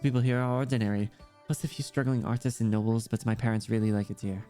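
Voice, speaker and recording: A man's voice: lowish voice, steady tone, quick pace, warm tone, close to an everyday voice. Man voicing ten-year-old girl, earnest, proud, plain delivery, story game dialogue, background music. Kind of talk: reading visual-novel dialogue aloud. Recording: music playing behind the voice.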